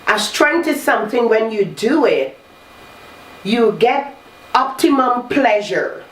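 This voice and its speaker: A woman hosting a talk show, congested from a cold, coaching listeners in a slow, measured way. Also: fairly high pitch, lively intonation, a bit bright. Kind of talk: reflective self-help talk. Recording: roomy echo, distant mic, faint noise.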